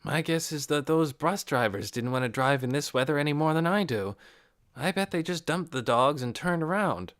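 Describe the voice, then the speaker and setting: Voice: mid-range voice, expressive, moderate pace, fairly bright tone, pitched above the performer's own voice, lightly acted. A narrator voicing a cautious, practical grown woman in an audiobook, skeptical and wry.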